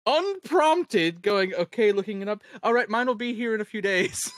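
A person chatting casually as host of a livestream, telling stories in an animated way and swearing freely. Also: medium pitch, normal speed, no room sound, clean studio sound. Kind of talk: casual group chat on a livestream.

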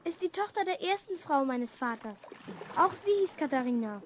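A very high, girlish voice of a young princess in a children's radio play. She speaks formally and earnestly, with sadness and pride. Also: expressive, normal speed, slight background noise. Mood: sad, earnest. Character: lonely young princess Elizabeth, proud and sad. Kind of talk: German children's audio drama acting.

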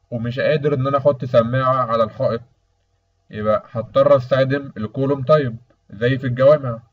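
A man with an Egyptian Arabic accent explaining material step by step in a colloquial, didactic lecture style. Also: mid-range voice. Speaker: instructor. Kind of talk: explanatory lecture over slides. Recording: distant microphone, dull lo-fi sound.

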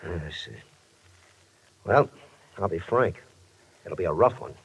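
An actor playing a frank, sober defense lawyer with an earnest, measured manner in a radio drama. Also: male voice, deep voice, animated, slightly rough, full character voice. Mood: sober, concerned. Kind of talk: 1950s radio drama acting. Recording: muffled 1950s radio broadcast recording.

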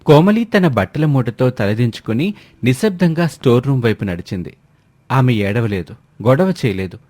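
A man's voice: medium pitch, sing-song, moderate pace, darkish tone. A man narrating a scripted family story as a dramatic storyteller.

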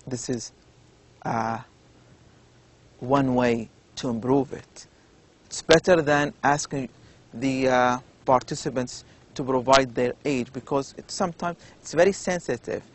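A man with an Arabic accent giving a recorded university lecture, explaining slowly with many pauses and fillers. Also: mid-range voice, fairly even intonation. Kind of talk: recorded lecture over slides.

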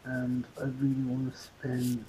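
A man playing an affectionate, tender boyfriend in an amateur ASMR roleplay, speaking haltingly. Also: mid-range voice, monotone, dark tone, natural voice. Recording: muffled, hissy lo-fi playback.

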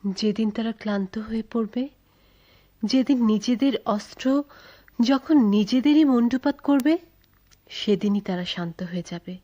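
A woman's voice: a little high, moderate pace, pauses often, dark tone, natural voice. Radio drama heroine female actor: young, tender, fearful eloping lover, Bangladeshi accent, theatrical, slightly dull lo-fi audio. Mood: wistful.

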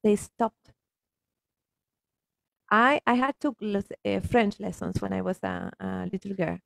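Casting: Argentine-accented woman, native Spanish speaker, earnest coaching talk, mid pitch, gravelly fry, dry close sound. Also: unhurried, no echo. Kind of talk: reflective coaching conversation.

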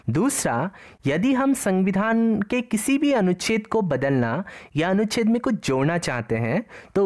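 Lively low-voiced woman narrating a lesson. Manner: explains each term twice, in Hindi and in English. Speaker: exam-prep teacher. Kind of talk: slide-based lecture narration.

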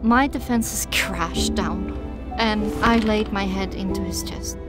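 A woman reading first-person dramatic audiobook narration, with expressive, deliberate phrasing and pauses. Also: mid-range voice. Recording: music behind the voice.

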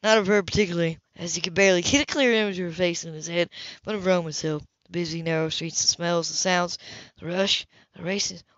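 A woman's voice: lowish voice, steady tone, a little fast, a bit bright, no echo. A woman reading a romance novel aloud as an amateur narrator, stumbling over and repeating words. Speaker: amateur audiobook reader. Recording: dull lo-fi recording.

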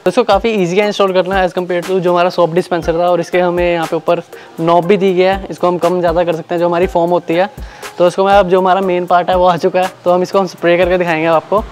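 Brief: male reviewer, quick and monotone delivery, faint music underneath. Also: high voice. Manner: quick, plain explaining to viewers. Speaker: product reviewer. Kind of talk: casual unboxing and demo narration.

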